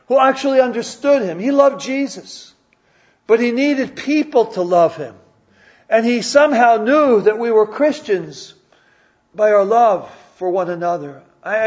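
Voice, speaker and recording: A man's voice: high voice, highs cut off. A man preaching a sermon in a church, often repeating and restarting his words and asking the congregation questions. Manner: repetitive stammering restarts, conversational questions to the congregation. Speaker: pastor.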